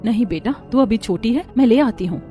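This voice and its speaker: A woman plays a meek, long-suffering mother in a cartoon story. She speaks softly and submissively, resigned, over background music. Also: a little high, animated, a little fast, close to an everyday voice. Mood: gentle.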